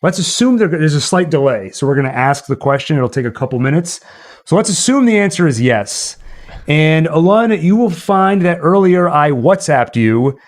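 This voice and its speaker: Higher-voiced man hosting a casual livestream. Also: lively intonation, normal speed. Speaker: livestream host. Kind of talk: casual livestream chat with viewers.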